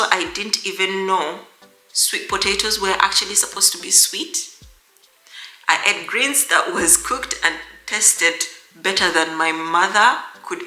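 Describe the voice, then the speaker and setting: Bright-voiced woman vlogger. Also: fairly low pitch, echoey room, low-quality recording. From Uganda. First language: Luganda. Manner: chatty, addresses viewers directly, reads an email aloud. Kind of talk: casual vlog chat to camera.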